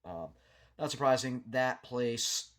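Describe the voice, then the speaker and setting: A man's voice: mid-range voice, expressive, slow, a bit bright. A man giving an unscripted, rambling local news commentary in a hesitant, matter-of-fact way, like a small-time vlogger talking through events.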